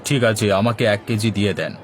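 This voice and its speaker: Man acting a low-voiced henpecked cartoon husband. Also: brisk, some chatter behind, a little hiss or hum, natural voice. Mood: matter-of-fact. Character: easygoing, henpecked village husband and paddy trader.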